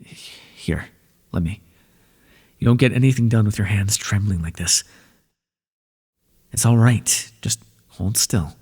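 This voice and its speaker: A man voicing an ancient god of the underworld in an ASMR roleplay, speaking in a soft, breathy, measured way and reassuring the listener tenderly. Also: fairly low pitch, long reverb tail, full character voice. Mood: gentle, soothing.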